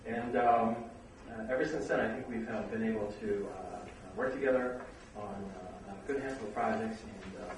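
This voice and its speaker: A middle-aged man hosts a university seminar, pausing often on ums and uhs. He is heard from a distance in a very echoey room. A steady hiss of noise sits underneath. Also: fairly low pitch. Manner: hesitant, full of fillers. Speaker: seminar host, vice dean. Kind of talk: opening remarks at a university seminar. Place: lecture room.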